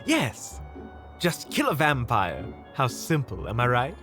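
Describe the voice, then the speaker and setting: A man's voice: medium pitch, huge pitch swings, takes it slowly, over music. A man acting as a wry, reassuring monster hunter who teases and explains things in an audio roleplay. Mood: teasing.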